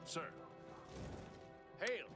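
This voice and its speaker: A man's voice: very slow, bright, forceful tone, soft background music. A man saying short, formal greetings in a clipped way.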